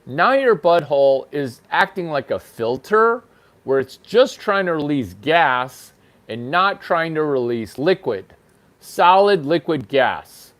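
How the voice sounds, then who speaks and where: A man talks slowly through a crude, rambling comedy riff, delivering bathroom humor as if giving a serious lecture. His voice sits a little high for a man and swings widely up and down, with sharp leaps in pitch when he stresses a point. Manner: animated pitch jumps for emphasis. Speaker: channel host. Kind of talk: crude improvised comedy monologue.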